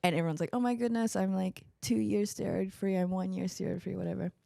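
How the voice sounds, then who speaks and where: A woman's voice: mid-range voice, steady tone, quick pace, vocal fry, dark tone, no room sound, clean studio sound. A young woman co-hosting a podcast, telling stories in casual, bubbly chat. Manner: chatty, bubbly storyteller with laughs. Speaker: podcast co-host.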